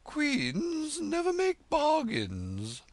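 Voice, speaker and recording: High, loud, domineering storybook queen; slow, overbearing delivery. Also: huge pitch swings, dry recording, exaggerated voice. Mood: imperious. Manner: commanding, drawn-out emphatic words. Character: imperious proud queen, bossy and loud.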